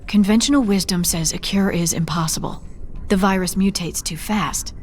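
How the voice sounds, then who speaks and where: A woman's voice: mid-range voice, moderate pace, a little hiss or hum, close to an everyday voice. A woman playing an idealistic, earnest scientist in a video game cutscene, speaking articulately. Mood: earnest, concerned.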